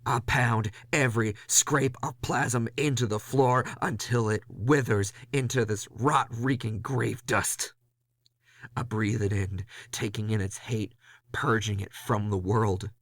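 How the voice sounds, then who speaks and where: Man acting a gravelly, brooding ghost hunter. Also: lowish voice, steady tone, takes it slowly, fairly bright tone, no room sound, exaggerated voice. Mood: grim, intense. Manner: brooding, profane, confessional. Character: weary, hard-boiled, bitter male ghost hunter. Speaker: narrator and lead character. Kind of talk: first-person noir horror audio drama monologue.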